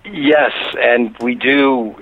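A man, a documentary director, answering interview questions by phone in a halting way, often restarting his phrases. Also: medium pitch, a little hiss or hum. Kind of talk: radio interview. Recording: tinny phone line.

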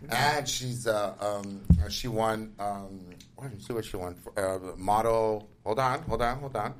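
A man's voice: lowish voice, unhurried, slight background noise. A man hosting a casual studio talk show, rambling with many fillers and restarts.

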